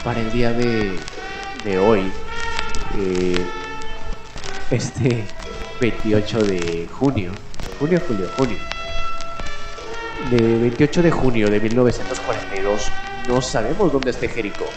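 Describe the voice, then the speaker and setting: Echoey man musing haltingly over music. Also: lowish voice. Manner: halting, reflective, rhetorical questions. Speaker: radio show host in character. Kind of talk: improvised monologue as a 1940s radio commentator.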